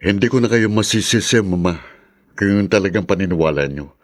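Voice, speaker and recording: A man's voice: low-pitched, animated, brisk. An actor playing a wounded convicted son who insists on his innocence, solemn and aggrieved, in an audio melodrama. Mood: hurt, resigned. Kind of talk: melodramatic audio drama acting.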